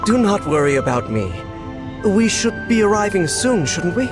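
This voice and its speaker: High-voiced, noble fantasy hero. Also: male voice, big pitch swings, moderate pace, over music. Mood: earnest, composed. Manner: courteous, formal, earnest. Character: gentle, noble, earnest male cookie hero. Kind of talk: animated fantasy game acting.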